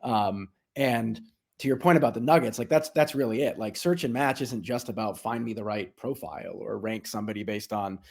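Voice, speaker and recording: A man's voice: lowish voice, fairly quick, vocal fry, darkish tone. A man speaking as a business executive guest, explaining things in an informal, conversational way. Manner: informal explainer, checks in with "right?". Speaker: software company executive, interview guest.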